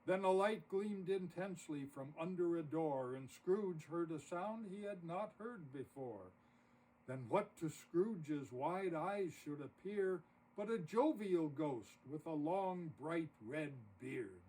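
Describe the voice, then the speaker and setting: A man narrating a rhymed story poem expressively, with dramatic, deliberate phrasing. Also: fairly high pitch, animated, mellow. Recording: distant mic with audible noise.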